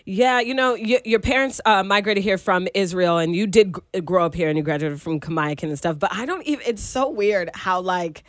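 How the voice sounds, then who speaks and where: A woman's voice: mid-range voice, fairly quick, hardly any pauses, no echo, clean studio sound. Chatty female radio host. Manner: casual, chatty, many fillers. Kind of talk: radio interview. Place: radio studio.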